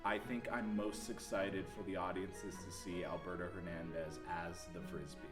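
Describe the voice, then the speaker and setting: Young man, deep voice, interview answers, music behind. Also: level tone, moderate pace. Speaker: student playwright and actor. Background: background music.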